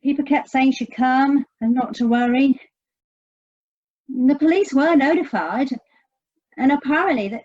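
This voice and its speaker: A woman's voice: sits high, a little mellow, dull audio. An actress in an audio play playing an anxious, sardonic American woman searching for her estranged sister. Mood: worried, exasperated.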